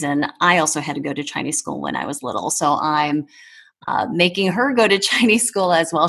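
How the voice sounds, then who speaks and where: A woman in her thirties talking casually as a podcast guest in a measured, thoughtful way. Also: low voice, a bit bright, slightly rough. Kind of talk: casual conversation.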